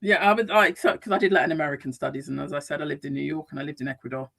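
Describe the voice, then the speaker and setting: A woman's voice: low-pitched, racing, gravelly. A woman from the UK, a psychotherapist and life coach, chatting as a guest in a casual interview with many restarts and easy laughter. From United Kingdom. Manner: animated, frequent restarts, laughs easily. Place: Madrid, Spain.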